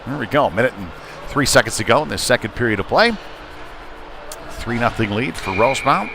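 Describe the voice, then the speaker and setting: A man doing live play-by-play ice hockey commentary in short, halting, conversational phrases. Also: medium pitch, sing-song, over music. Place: indoor ice rink. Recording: noisy live arena stream, rink ambience. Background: clear rink noise and ambience.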